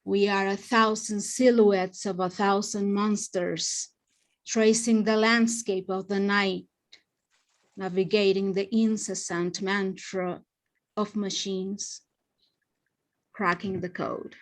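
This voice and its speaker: A woman recites spoken-word poetry as a slam poet. Her pitch sits in an ordinary middle range, and she sets out each phrase slowly and with deliberation. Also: level tone.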